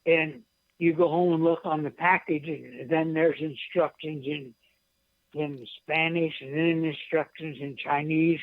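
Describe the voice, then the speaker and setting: An older man, a guest author and poet, talking in a plain, halting way over a narrow phone connection. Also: on the high side. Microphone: telephone call. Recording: narrow, tinny phone line.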